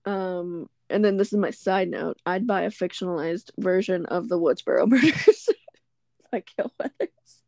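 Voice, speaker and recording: A woman's voice: fairly low pitch, normal speed, darkish tone, dry recording, clean studio sound. A woman co-hosting a podcast, casually recapping a film scene by scene and laughing often as she goes. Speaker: podcast co-host. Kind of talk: casual movie recap chat. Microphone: remote call.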